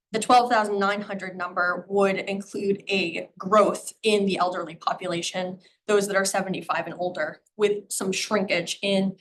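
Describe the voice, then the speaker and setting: A woman presenting a housing plan to the public, a little hoarse from a cold, explaining numbers with frequent ums and uhs. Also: mid-range voice, a bit bright, a little hiss or hum, low-quality recording. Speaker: town planner presenting a comprehensive plan.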